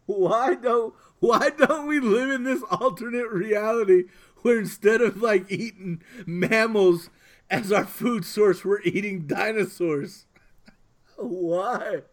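A man's voice: high-pitched, slow. A man chatting casually as a podcast co-host, telling jokey, rambling stories. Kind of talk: casual chat.

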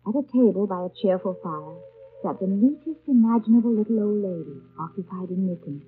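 A woman's voice: medium pitch, animated, brisk, close to an everyday voice. Actress as grave young woman, muffled radio. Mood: measured, reflective. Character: grave, reserved young woman telling her life story. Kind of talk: 1930s radio drama narration. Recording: muffled vintage 1930s radio recording. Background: music.

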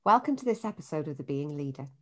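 A woman with a fairly low voice hosts a leadership podcast. Her scripted introduction is polished, and her unscripted questions come out friendly and a little halting. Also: expressive, faint music behind. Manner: polished when reading, friendly and halting when improvising. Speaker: podcast host. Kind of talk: scripted intro, then casual interview.

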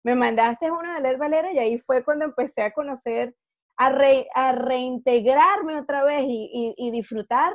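A Venezuelan woman hosts with a high, light voice and a Venezuelan Spanish accent. She chats in a friendly way and tosses in English words. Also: normal speed. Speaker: podcast host. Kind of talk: casual interview chat.

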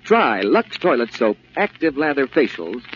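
A man's voice: medium pitch, sing-song, measured pace, a bit bright. A man announcing and reading advertising in a polished, persuasive 1940s radio style. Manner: polished, persuasive sales delivery. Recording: muffled, hissy vintage radio recording.

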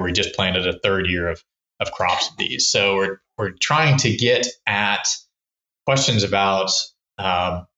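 A man with a fairly low voice and a bright, forceful edge talks through his research in a loose, rambling way, often restarting his sentences. His voice echoes in a roomy space, and the audio sounds a little dull. He comes across as a researcher being interviewed on a podcast. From Texas. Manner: rambling, many restarts and fillers. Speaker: wildlife researcher, podcast guest. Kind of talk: casual explanatory interview talk.

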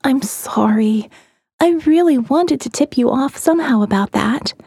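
A woman's voice: high-pitched, lively intonation, measured pace, creaky, warm tone, breathy edge, no room sound, clean studio sound, exaggerated voice. A woman voicing a candid, amused and wistful young woman in an audio novel, with a shrugging manner that tends to trail off. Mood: regretful, nostalgic.

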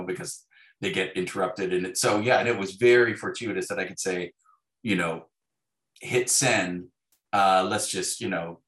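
A man's voice: low voice, a bit bright. A man speaking as an interview guest, reflecting conversationally and often restarting his phrases as he thinks. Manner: hesitant, self-correcting, thoughtful phrasing with restarts. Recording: roomy, echoing sound.

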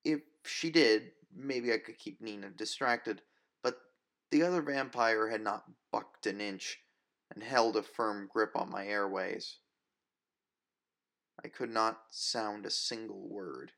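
A man's voice: fairly low pitch, takes it slowly, fairly bright tone. A man reading a story as narrator, in dramatic scripted first-person narration.

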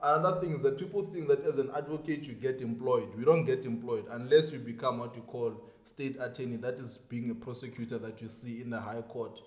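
A young South African man with a Tsonga first language, a pupil advocate explaining his path in an earnest, casual interview chat with loud laughs. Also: medium pitch, steady tone, brisk, hardly any pauses. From South Africa (Mpumalanga). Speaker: podcast guest, pupil advocate. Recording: roomy echoey room.

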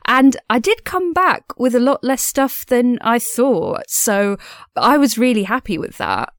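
A woman's voice: fairly high pitch, dry recording, clean studio sound. A woman recording a casual personal audio diary as a podcast host, speaking in an earnest, enthusiastic and effusive way.

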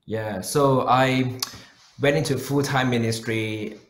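A man's voice: mid-range voice, steady tone, slow pace. A man with a Malaysian accent, speaking earnestly as a pastor giving personal answers in an interview. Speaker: pastor and missionary guest. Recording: roomy, echoing sound.

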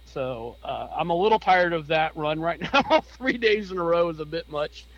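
A man's voice: on the high side, expressive, moderate pace, highs cut off. A man in casual chat, easygoing and hesitant, tired from a long work week. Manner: easygoing, hesitant, many fillers. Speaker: bus driver, co-host.

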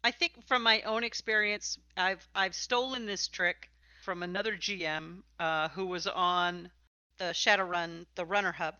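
A woman's voice: lowish voice, fairly even intonation, a little slow, bright, forceful tone, dry recording, highs cut off. A woman hosting a conversational interview about tabletop games, speaking in an emphatic, opinionated way. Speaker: podcast host and interviewer.

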